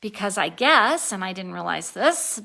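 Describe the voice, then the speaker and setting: A woman's voice: mid-range voice, sing-song, moderate pace, creaky, bright, forceful tone. A woman in her sixties, tired and a little spacey, rambling casually in a daily video diary and laughing at her own slips. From born in Turkey, raised abroad, lives in Colorado. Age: 60s. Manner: rambling, self-correcting, laughs at herself. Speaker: vlogger.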